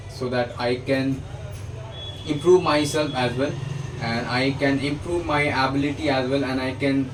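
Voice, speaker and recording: A man with a Hindi mother tongue and an Indian English accent, teaching English grammar in a mix of Hindi and English, often stammering and restarting his sentences. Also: mid-range voice. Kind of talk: bilingual grammar lesson. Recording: distant mic with noticeable background noise.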